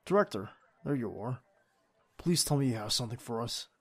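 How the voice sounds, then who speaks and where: A young man playing a devoted secret agent boyfriend in an audio roleplay, with a husky, gravelly voice and an earnest manner. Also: mid-range voice, expressive, brisk. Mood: concerned. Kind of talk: ASMR boyfriend roleplay acting.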